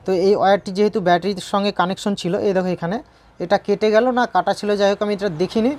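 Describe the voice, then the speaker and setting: A woman's voice: mid-range voice, fairly even intonation, very fast, a little mellow, a little hiss or hum. A woman giving an informal hands-on repair tutorial, repeating points and often checking in with viewers. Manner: repetitive, checking in with viewers with tag questions.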